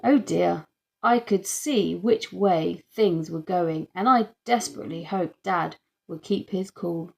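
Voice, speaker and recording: A woman's voice: fairly low pitch, measured pace, vocal fry, darkish tone. A woman reading a children's audiobook as narrator, telling the story in the first person. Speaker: audiobook reader.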